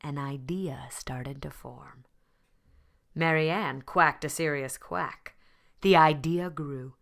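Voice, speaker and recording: A woman reading a children's story aloud in expressive narration, with deliberate phrasing and dramatic pauses. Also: low voice, vocal fry. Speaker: storybook reader.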